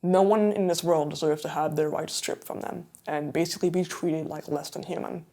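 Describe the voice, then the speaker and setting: A woman's voice: low-pitched, steady tone, normal speed, dark tone. A woman in her twenties giving candid, emphatic personal testimony in an interview. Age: 20s. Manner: candid, emphatic, conversational fillers.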